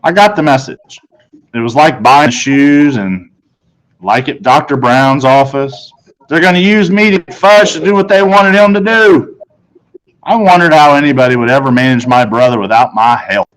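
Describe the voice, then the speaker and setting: A man's voice: mid-range voice, moderate pace, fairly bright tone, low-quality recording. A man reading a children's novel aloud as scripted first-person narration. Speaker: story reader.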